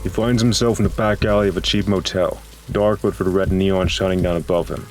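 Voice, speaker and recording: A man's voice: low voice, steady tone, a little fast, a little mellow, rough recording. A man reading scripted noir horror narration as a grave, dramatic storyteller. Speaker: narrator and voice actor. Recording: music and rain behind voice.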